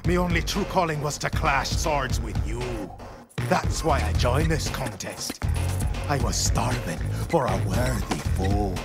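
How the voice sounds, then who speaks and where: A man's voice: medium pitch, expressive, a little slow, over loud music. A man voicing a grandiose, fanatical cult-preacher assassin as a video game villain. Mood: solemn, reverent.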